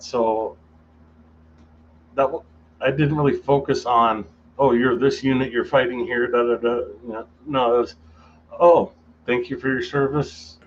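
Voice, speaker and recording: A male interview guest talking casually and hesitantly, often stopping to restart his sentences. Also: mid-range voice. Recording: dull lo-fi audio with faint noise.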